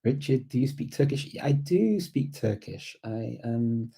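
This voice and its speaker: A man's voice: mid-range voice, animated, unhurried, creaky, warm tone, husky. A man hosting a casual live chat, thinking aloud in a reflective, hesitant way and often repeating words as he goes. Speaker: livestream host and language learner.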